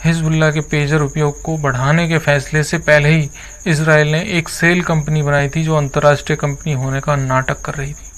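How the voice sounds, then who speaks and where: A man's voice: on the high side, a bit bright, smooth. A man presenting scripted news as a narrator, with a formal, earnest delivery.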